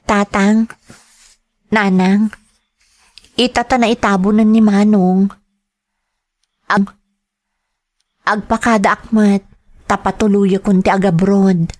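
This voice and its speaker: A woman's voice: mid-range voice, steady tone, slow, pauses often, a little mellow, lightly acted. A woman with a Filipino accent playing a dutiful, earnest grown daughter in a radio drama. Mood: solemn, resolved. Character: dutiful, grieving grown daughter telling her story. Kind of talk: radio drama acting, slow narration.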